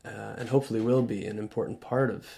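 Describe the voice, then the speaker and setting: A man's voice: on the low side, steady tone, gravelly. A man speaking as a guest on a radio show, earnest and reflective, often restarting his sentences. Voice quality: loud voice. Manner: earnest, halting with many restarts. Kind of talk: reflective conversational talk. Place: radio studio.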